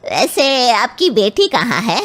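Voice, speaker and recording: Unhurried, pushy middle-aged aunt, acted by a woman. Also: on the high side, very animated, a bit bright, heavily acted. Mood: welcoming. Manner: gushing, pushy, overfamiliar. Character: bossy middle-aged Indian aunt, a meddling matchmaker. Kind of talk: animated family cartoon dialogue.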